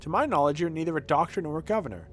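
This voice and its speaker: A man's voice: on the high side, expressive, racing, pitched above the performer's own voice, natural voice. Man playing a blunt, self-assured doctor. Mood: accusing. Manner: pointed, formal, questioning. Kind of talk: dramatic reading of game dialogue. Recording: game music behind the voice.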